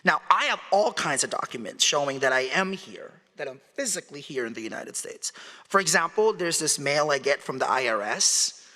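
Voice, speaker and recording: Lecture speaker, man, fairly high and bright voice, chatty, asks the crowd questions, hall reverb. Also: very animated, normal speed. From Philippines. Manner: conversational, asks the audience questions, chuckles often. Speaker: guest speaker. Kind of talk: public lecture. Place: large hall.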